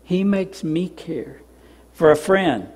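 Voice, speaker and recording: An older man, a pastor preaching a sermon in a church sanctuary, telling stories and dropping to soft, emphatic repeats. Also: high voice, animated, takes it slowly, breathy edge. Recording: long reverb of a church hall.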